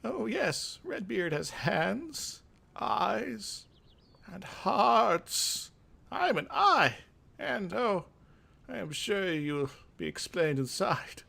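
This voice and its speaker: A man's voice: high voice, sing-song, very slow, vocal fry, pushed and bright, pitched above the performer's own voice, full character voice. A man voicing a fussy, condescending mage craftmaster from a fantasy game in an airy, theatrical, patronizing manner. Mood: airy, amused.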